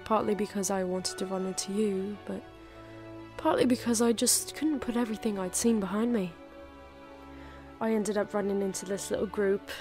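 A woman playing a warm, wry former investigator turned librarian in a full-cast audio drama. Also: mid-range voice, moderate pace, faint music behind, close to an everyday voice. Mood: reflective.

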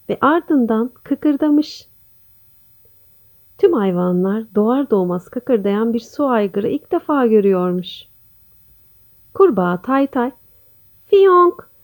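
A woman storyteller reading a scripted children's bedtime tale in a gentle, expressive manner. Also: fairly high pitch.

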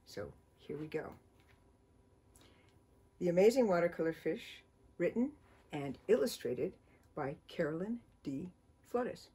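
A middle-aged woman from the United States, a children's author, chatting and reading a storybook aloud in her book-filled home studio with a cheerful, expressive storytime manner. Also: on the low side, a little slow, long pauses. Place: home studio full of books, indoors.

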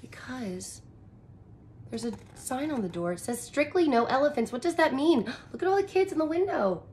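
A woman's voice: high voice, lively intonation, moderate pace, a little mellow, slight background noise. A woman in her thirties, a teacher reading a picture book aloud and explaining it expressively in simple phrases for young children. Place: small furnished room indoors.

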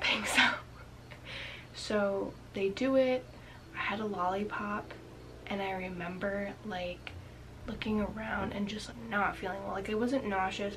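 Chatty young woman, slightly raspy. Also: mid-range voice, noisy background. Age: young adult. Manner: chatty, many "like" fillers, drops into whispers. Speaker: vlogger. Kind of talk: casual storytelling to camera. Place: small bedroom indoors.

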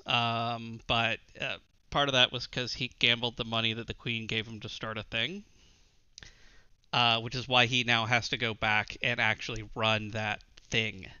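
A man's voice: mid-range voice, pushed and bright, clean tone, no echo. A man, one of the players, explaining his character's story in easygoing, hesitant casual chat over a video call. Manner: easygoing, hesitant, full of pauses. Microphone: video call webcam. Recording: dry dull webcam audio.

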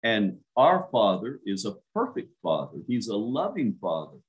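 A man's voice: fairly low pitch, sing-song, normal speed, rough recording. An older man, a minister, preaching a sermon to a home church over a webcam, earnest and emphatic, stretching out key words.

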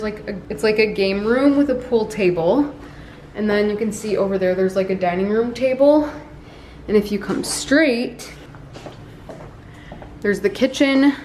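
Chatty young woman vlogging, slightly hoarse. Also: medium pitch, darkish tone, slight background noise, poor audio. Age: young adult. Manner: chatty, bubbly, talking straight to camera. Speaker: family vlogger. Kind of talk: casual travel vlog. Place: car, plane and rental house. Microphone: handheld camera at arm's length.

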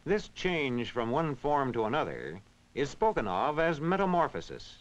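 A man reading scripted educational narration in a measured, instructional, clearly enunciated way. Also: medium pitch, very animated, a little hiss or hum. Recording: dull, band-limited vintage film soundtrack.

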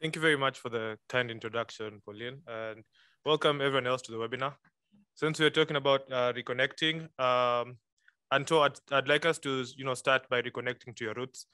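A man's voice: mid-range voice, steady tone, a little fast, sharp tone, smooth, no room sound. A man moderating a webinar interview, asking questions with frequent hesitations and fillers. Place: room at a lectern.

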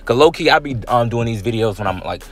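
A man's voice: mid-range voice, animated, quick pace. A man talking casually over gameplay footage as the channel's host, in a drowsy, loose way with lots of fillers and slang.

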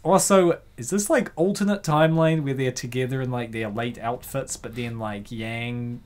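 A man's voice: medium pitch, normal speed. A man hosting with casual, chatty reaction commentary, rambling and jokey. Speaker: host of comic dub channel.

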